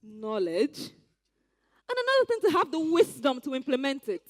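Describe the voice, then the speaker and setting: A needling, proud Nigerian village woman on stage. Her high voice moves in lively swings as she delivers pointed, sardonic proverbs. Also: normal speed. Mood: dry sarcasm. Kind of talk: theatrical stage play acting.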